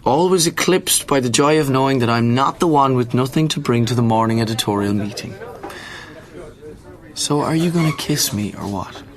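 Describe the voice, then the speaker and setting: A man's voice: mid-range voice, moderate pace, close to an everyday voice. An Irish actor playing a cocky, cynical male journalist in a naturalistic radio drama, with dry, sardonic banter. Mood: cocky, teasing.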